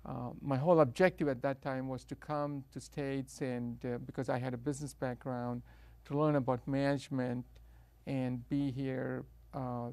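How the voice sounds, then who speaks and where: Middle-aged South Indian man, interview guest, hesitant, gravelly and flat. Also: medium pitch, no echo. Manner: hesitant, many uh fillers and restarts. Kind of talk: interview, telling his life story.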